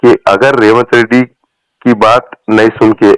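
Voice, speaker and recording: A man's voice: medium pitch, level tone, measured pace, clear, resonant tone, no room sound. A man with a Hyderabadi accent talking politics in an emphatic, colloquial, repetitive way on a recorded phone call. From Telangana, India. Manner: emphatic, repeats phrases, colloquial. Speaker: party supporter on a phone call. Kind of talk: political rant in casual phone conversation.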